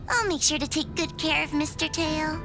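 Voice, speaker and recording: A woman's voice: high-pitched, measured pace, over music. A woman voicing a timid, earnest young girl in a video game, meek, tremulous and hesitant. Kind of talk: video game character acting.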